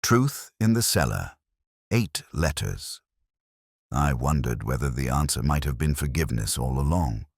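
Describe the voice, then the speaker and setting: A man narrating a first-person detective story in a measured, brooding, literary manner. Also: rumbling low, lively intonation, gravelly, breathy edge, dry recording, clean studio sound.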